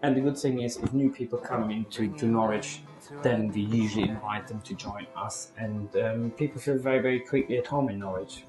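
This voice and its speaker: A man's voice: lowish voice, fairly even intonation, brisk, over music. Chatty man in an echoey room. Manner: chatty, enthusiastic, restarts phrases. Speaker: local couch surfing group organizer. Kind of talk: casual interview.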